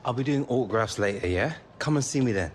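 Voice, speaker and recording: Lively young British footballer, played by an actor. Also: male voice, medium pitch, fast, a little hiss or hum, lightly acted. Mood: cheeky, excited. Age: young adult. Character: young male star footballer, cheeky yet unsure. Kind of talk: naturalistic video game cutscene dialogue.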